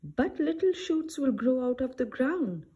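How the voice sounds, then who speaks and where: A woman's voice: sits high, big pitch swings, unhurried, mellow, slightly rough, lo-fi sound, voice pushed up, exaggerated voice. A woman voicing a small, friendly, upbeat mouse in a children's storybook, with a sweet manner.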